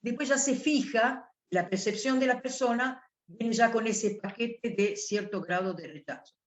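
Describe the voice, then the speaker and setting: A woman's voice: fairly high pitch, measured pace. A woman with an Italian accent giving a thoughtful academic lecture at a conference, often rephrasing as she explains. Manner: thoughtful, restarts phrases while explaining. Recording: echoey room.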